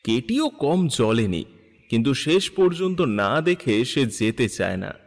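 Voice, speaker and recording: A man narrating a literary story in an expressive, measured, dramatic style. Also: medium pitch, long reverb tail.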